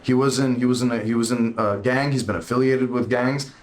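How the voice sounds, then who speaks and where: A man's voice: medium pitch, fairly even intonation, brisk. A man playing a tabletop game, speaking bluntly and emphatically in casual character banter.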